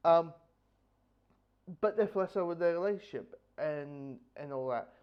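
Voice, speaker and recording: A man giving a casual, rambling review, with halting delivery, frequent false starts and repeated words. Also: a little high, clear, resonant tone. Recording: dull lo-fi microphone sound.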